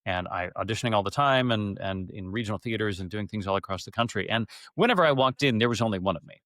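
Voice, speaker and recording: A man's voice: fairly low pitch, fast, a bit bright, no echo, clean studio sound. A middle-aged man chatting casually as an interview guest, candid and often interrupting himself mid-thought as he tells stories. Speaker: interview guest, stage and radio theater actor.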